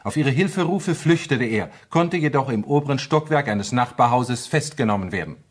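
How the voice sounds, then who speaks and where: A matter-of-fact actor as a male case reporter with a medium-pitched voice. He reads scripted crime narration in formal, precise sentences. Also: animated, normal speed, close to an everyday voice. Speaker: second narrator. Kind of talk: scripted documentary crime radio-drama narration.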